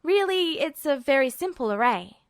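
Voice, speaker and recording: A woman voicing a sharp, sarcastic teenage boy alchemist who explains things flatly in a story reading. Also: high-pitched, no room sound, clean studio sound. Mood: explaining. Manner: flat, sardonic, quick to explain.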